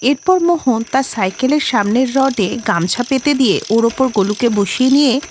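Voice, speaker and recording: High-pitched woman narrating a story. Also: animated, normal speed, slight background noise.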